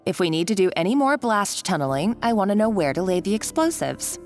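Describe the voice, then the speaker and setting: A woman's voice: mid-range voice, sing-song, brisk, a little mellow, soft background music. A woman playing a domineering, gloating female villain in a video game, speaking with taunting condescension. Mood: imperious. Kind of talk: anime-style video game acting.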